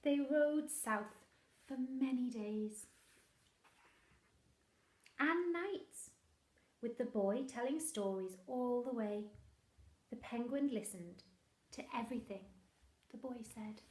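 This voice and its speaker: Slow-reading woman telling a children's story. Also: fairly high pitch, reverberant. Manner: expressive, deliberate, with hushed asides to listeners. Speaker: primary school teacher reading a picture book. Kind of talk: storybook read-aloud to young children.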